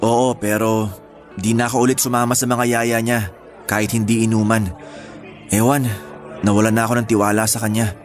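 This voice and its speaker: A man playing a quiet, gentle homebody in radio drama dialogue, talking in a casual, matter-of-fact way. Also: on the low side, little pitch movement, normal speed, long pauses, close to an everyday voice. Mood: reflective. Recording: faint music under dialogue.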